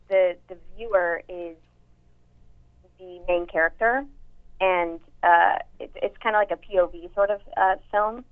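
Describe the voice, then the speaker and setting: Young woman with a deep voice on a tinny line. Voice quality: somewhat deep voice. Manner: chatty, many fillers and restarts. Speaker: actress and voice actor. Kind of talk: casual interview chat.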